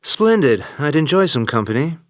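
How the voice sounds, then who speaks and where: A man's voice: on the high side, moderate pace, dry recording. A male actor playing a suave, unflappable secret agent in a video game, delivering dry, wry one-liners. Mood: wry, cool. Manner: dry, wry, deadpan quips.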